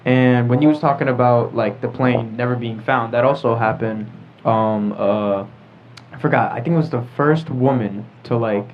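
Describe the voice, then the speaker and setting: A man's voice: mid-range voice, steady tone, normal speed, slight background noise. A young American man leading a casual group discussion as a podcast host. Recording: muffled audio with group chatter behind.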